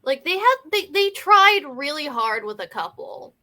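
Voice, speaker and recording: A woman with a high, bright voice chats informally about a film. Her talk is youthful and slangy, loosely strung together with frequent fillers. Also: sing-song, a little slow. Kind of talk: casual film chat.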